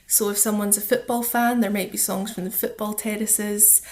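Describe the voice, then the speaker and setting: Female charity guest, Scottish accent, mid-pitched and level in intonation, informal and explanatory interview delivery. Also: fairly even intonation, normal speed, darkish tone.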